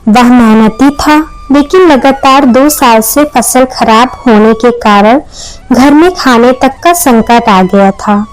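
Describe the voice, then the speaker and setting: A woman's voice: fairly high pitch, slow, poor audio. A woman narrating a scripted moral story as a storyteller.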